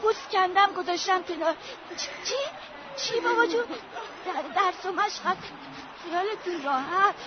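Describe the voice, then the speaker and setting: Grieving young daughter, very high, pleading, lo-fi, crowd behind. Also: female voice, fairly even intonation. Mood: grief-stricken. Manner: pleading, sobbing, delirious.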